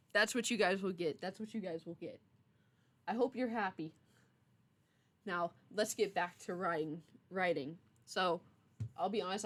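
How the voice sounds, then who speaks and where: A young adult woman streamer chatting casually with her viewers in a playful, teasing, mock-stern way. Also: fairly low pitch. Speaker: streamer, game writer. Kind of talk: casual livestream chat.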